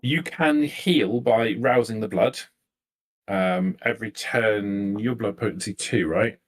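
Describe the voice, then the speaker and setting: Deep-voiced man running a roleplaying game. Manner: halting, searching for words. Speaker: game master. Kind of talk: narrating a tabletop roleplaying game.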